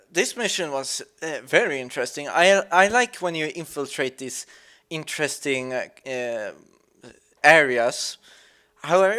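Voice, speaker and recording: Slow-paced man, mid-pitched, halting and thoughtful, unscripted game commentary. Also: a bit bright, smooth. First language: Swedish. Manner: halting, searching for words, thoughtful. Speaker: let's play commentator. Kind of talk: reflective gameplay commentary.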